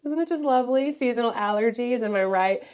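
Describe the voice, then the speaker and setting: A woman's voice: a little high, a little fast, a little mellow, smooth. A woman in her late twenties, stuffed up and congested, giving a chatty, sassy book review with plenty of fillers. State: congested from allergies. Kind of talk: casual book review vlog.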